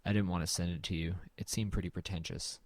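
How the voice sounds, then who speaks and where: A man's voice: sits low, steady tone, fast, darkish tone, slight background noise. A man playing a weary, haunted man who narrates his own horror story in a glum, understated way in an audio drama. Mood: somber, resigned. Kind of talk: first-person scripted audio drama narration and dialogue.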